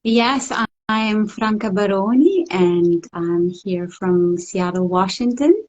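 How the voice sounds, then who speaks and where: A middle-aged woman from the Italian part of Switzerland with a Swiss Italian accent, speaking as an interview guest in a reflective, halting way with soft laughs. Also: medium pitch, expressive, highs cut off. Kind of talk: casual interview over a call.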